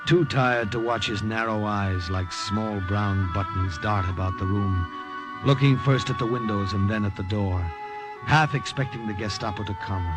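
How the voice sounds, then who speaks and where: A man's voice: lowish voice, normal speed, natural voice. Actor playing a worn-out american secret agent recounting his mission, old radio drama, music behind him. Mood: weary recounting. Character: weary American wartime secret agent, telling his story. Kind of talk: 1940s-50s radio drama acting with first-person narration.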